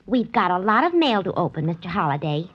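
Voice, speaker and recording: Lively, naive radio secretary. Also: female voice, fairly low pitch, a little fast. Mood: naive, chatty. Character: naive, chatty female secretary with scatterbrained good cheer. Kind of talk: 1940s radio acting. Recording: muffled 1940s radio recording.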